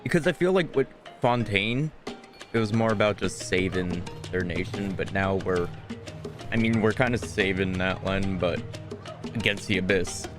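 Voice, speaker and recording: A man's voice: low-pitched, normal speed, over music. A man giving casual commentary as he plays through a video game story. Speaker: gamer playing through a story quest.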